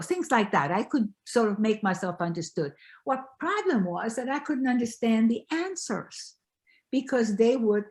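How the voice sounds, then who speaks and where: An older woman from Belgium talks about her life as the author being interviewed. She speaks reflectively and haltingly, often restarting her sentences as she searches for words. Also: on the high side, a little mellow. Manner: halting, with many restarts and fillers. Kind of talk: reflective conversational storytelling.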